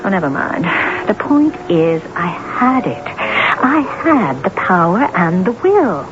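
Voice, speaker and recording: A woman's voice: lowish voice, sing-song, slow pace, dull audio, noisy background. An actress playing a vain, domineering woman novelist in a radio drama, insistent, easily wounded and emphatic, repeating herself for effect. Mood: smug, elated. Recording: band-limited vintage radio broadcast with music.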